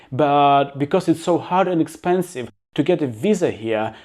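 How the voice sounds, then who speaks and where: A man's voice: a little high, darkish tone. A man who learned English as a second language reads out facts and adds his own plain-spoken opinions, as a YouTuber. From abroad, living in the UK. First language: not English. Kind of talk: reading facts with personal commentary.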